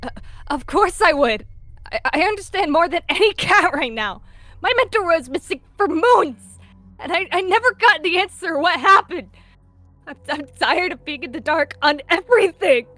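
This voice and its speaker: A woman voicing a stubborn, grieving young male apprentice cat who shouts and stammers, with faint music behind. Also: extremely high pitch, animated, moderate pace, no echo, voice pushed up, heavily acted. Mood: anguished.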